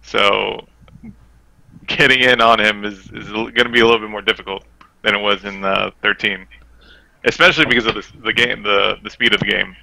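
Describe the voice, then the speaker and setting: A man with a low voice and a very bright, sharp edge breaks down a game casually. There is some gravelly fry in his speech. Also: steady tone, a little fast, no room sound, low-quality recording. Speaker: podcast guest, fighting game player.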